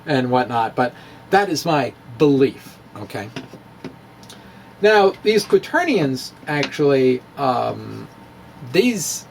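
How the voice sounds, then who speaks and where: A man's voice: a little high, a little hiss or hum. A middle-aged man explaining math in a chatty, rambling way, chuckling at his own asides. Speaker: physics blogger explaining math. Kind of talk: casual explanatory talk, demonstrating with props.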